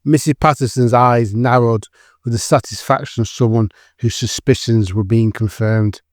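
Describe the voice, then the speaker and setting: A man's voice: medium pitch, steady tone, normal speed, dry recording, studio quality. A man reading scripted dramatic narration as the narrator of an audio drama.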